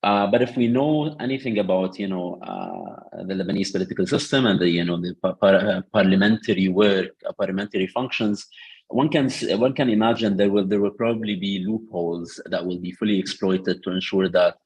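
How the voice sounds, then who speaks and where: Low husky guy answering on a panel, lots of uh and um, keeps restarting. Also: brisk, a little mellow, low-quality recording. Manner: hesitant, many fillers and restarts. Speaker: panel speaker on Lebanon.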